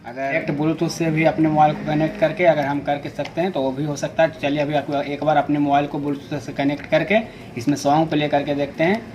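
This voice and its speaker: A man's voice: a little high, little pitch movement, brisk, music in the background. An Indian man hosting an informal product unboxing, explaining things step by step in a repetitive way. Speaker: product reviewer and video host. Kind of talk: unboxing demonstration narration. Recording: clearly noisy amateur recording.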